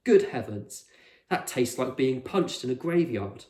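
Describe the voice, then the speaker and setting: Eccentric gentleman explorer for a storybook, done by a male reader: formal, dryly pompous, reverberant room. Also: medium pitch, expressive, a little slow, close to an everyday voice. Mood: disgusted. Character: eccentric adult male explorer, courteous but sardonic. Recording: echoey room.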